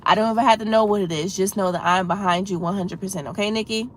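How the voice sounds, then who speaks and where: Female livestream host, congested and slightly froggy, earnest and encouraging, low rumble in the background. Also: fairly even intonation, quick pace. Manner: earnest, encouraging, talks straight to chat with many "you know"s. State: congested with a head cold. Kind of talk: casual livestream chat.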